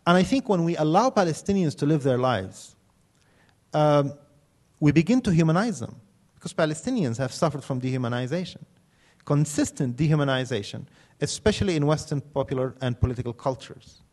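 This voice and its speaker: A man's voice: fairly high pitch, animated, fairly quick, darkish tone. A middle-aged man lecturing in an emphatic, ironic way, building his points in rhythmic lists. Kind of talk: critical commentary on film and culture.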